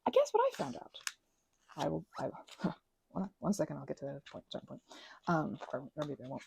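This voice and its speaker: A young woman chatting in a rambling, casual way while she works on a craft project, sometimes dropping into soft whispers. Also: low-pitched, wildly swooping pitch, a little fast, creaky, slight background noise, rough recording. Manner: chatty, rambling, drifts between soft whispers and louder asides. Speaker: ASMR creator.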